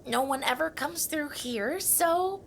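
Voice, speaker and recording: Very high-pitched, timid young troll. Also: female voice, expressive, slow, gravelly, fairly bright tone, a little hiss or hum, heavily acted. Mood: earnest, wistful. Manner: hesitant, stammering, earnest. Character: timid, bookish young male mountain troll, huge but gentle. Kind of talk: family audio drama acting.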